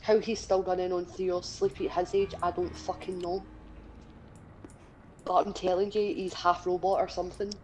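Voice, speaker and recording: A woman's voice: on the low side, little pitch movement, normal speed, a little mellow, low-quality recording. A woman in her twenties with a Scottish accent, chatting casually and bluntly as a stream co-host, swearing freely. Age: 20s. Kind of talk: casual chat during a gaming stream.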